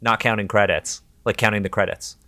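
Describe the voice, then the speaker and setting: A man's voice: fairly low pitch, fairly even intonation, brisk, sharp tone, no echo. A man with a Canadian accent hosting a podcast, chatting casually in a candid, informal and reflective way. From Toronto, Canada. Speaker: podcast host.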